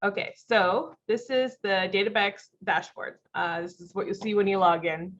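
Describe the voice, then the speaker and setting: A woman with a congested voice from a cold and a slight cough, presenting a software webinar in a friendly, explanatory way with frequent ums. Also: mid-range voice, steady tone, moderate pace. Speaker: company vice president presenting a software webinar. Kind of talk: live product demo webinar. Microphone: video call mic. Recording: dull lo-fi video call audio.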